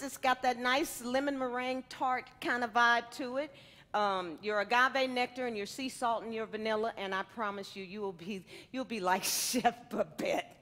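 A woman's voice: high voice, sharp tone. A middle-aged woman chef giving a live cooking demo, playful and teasing with the crowd, on a headset mic in a large hall. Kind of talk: live cooking demonstration to an audience. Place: large indoor hall with a stage set. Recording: long reverb of a big hall.

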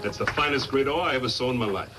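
A man's voice: mid-range voice, racing, loud music behind the voice, natural voice. An actor playing a blunt, cocky hired henchman and mine foreman in a 1950s radio western. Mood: brisk, dismissive. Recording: noisy vintage 1950s radio recording.

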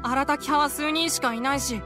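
An earnest, timid little village boy in an anime game, voiced by a woman. The voice is childlike and very high, with clear music playing behind it. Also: fairly even intonation, moderate pace, lightly acted. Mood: upset, pleading.

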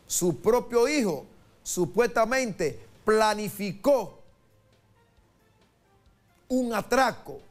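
Lively high-pitched news host. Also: male voice. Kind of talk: presenting a news segment. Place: virtual news studio, indoors.

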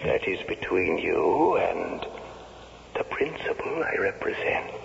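Gravelly, sinister tempter. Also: male voice, sits low, very animated, slow pace, exaggerated voice. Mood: sinister, tempting. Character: sinister, wheedling male go-between for the devil. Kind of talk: 1970s radio drama acting. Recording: muffled, very noisy 1970s radio broadcast.

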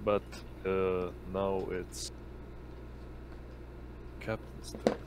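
Male game master, very deep, unhurried, hesitant, faint background noise. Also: little pitch movement. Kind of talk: running an online tabletop roleplaying game. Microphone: online voice chat.

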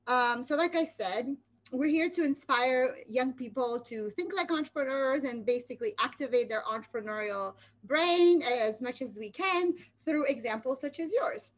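A middle-aged woman hosting a casual interview over a video call from home, chatty and encouraging with plenty of fillers. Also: high voice. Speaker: interview host. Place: home room with slanted wooden ceiling. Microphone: laptop webcam on video call.

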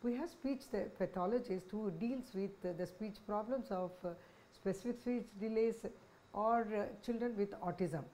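An older woman with an Indian accent gives an informational talk as a senior psychiatrist. Her voice is mellow and mid-pitched, and she lays out her points in a measured way. She is on a lapel mic in a small office, with some background noise. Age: 60s. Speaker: senior child psychiatrist, head of department. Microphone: lapel microphone.

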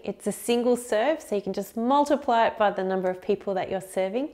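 A woman's voice: mid-range voice, darkish tone. Upbeat woman hosting a home cooking demo. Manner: upbeat, chatty, explains step by step. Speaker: cooking show host. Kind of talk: friendly recipe demonstration. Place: home kitchen, indoors.

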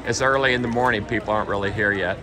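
A man's voice: fairly low pitch, steady tone, rapid-fire, a crowd in the background. Man vlogging in a crowded hall. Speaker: vlog host touring an expo. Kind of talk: casual on-camera interviewing. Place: large indoor trade show hall. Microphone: handheld camera mic, speaker behind camera. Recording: noisy crowded expo hall.

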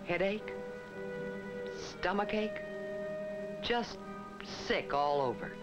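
An American woman reading scripted 1960s educational film narration in a measured, instructive way with emphatic phrasing. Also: mid-range voice, animated. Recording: dull 1960s film soundtrack with music. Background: prominent music.

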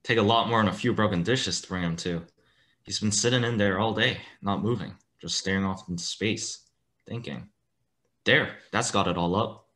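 Man acting a low-voiced, rough sanitarium attendant. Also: moderate pace, a bit bright, close to an everyday voice. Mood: grumbling. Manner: rough, colloquial. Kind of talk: radio play acting.

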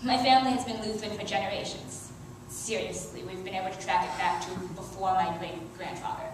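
A teenage girl reading a prepared youth sermon at a church lectern, earnest, with soft laughs and small restarts. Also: medium pitch, steady tone, a little fast, noisy background. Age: teens. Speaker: youth speaker. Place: church sanctuary. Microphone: headset mic, filmed by far camera. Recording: very echoey church from far off.

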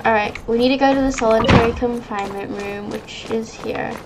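High-voiced woman doing casual game commentary. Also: fairly even intonation, a little fast, very noisy. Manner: cutesy, gushing reactions. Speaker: gaming video creator. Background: game music.

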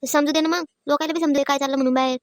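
A woman voicing a sharp-tongued, aggrieved elderly village mother-in-law in a cartoon comedy, scolding and complaining with a rural Maharashtrian accent. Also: high-pitched, fairly even intonation, no room sound. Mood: bitterly aggrieved. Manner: scolding, complaining, rapid-fire. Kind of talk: animated family comedy acting.

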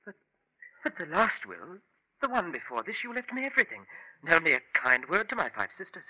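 An actor playing a scheming, flattering nineteen-year-old son with formal period diction in a 1950s radio drama. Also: male voice, a little high, fairly quick, heavily acted, rough recording. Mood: pleading, protesting. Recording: vintage 1950s radio recording.

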